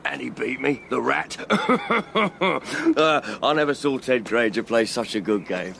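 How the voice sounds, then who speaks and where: An actor playing a chummy, awkward, gossipy pal in a radio drama, hesitant and informal. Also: male voice, medium pitch, lively intonation, unhurried, vocal fry, music in the background. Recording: noisy, with background ambience.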